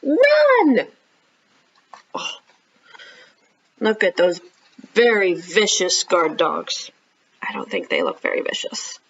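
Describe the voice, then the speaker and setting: A woman's voice: on the low side, big pitch swings, a bit bright, breathy edge. Woman hosting children's storytime, slightly muffled. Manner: child-directed, asking questions and pausing for answers. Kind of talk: read-aloud storytime for young children.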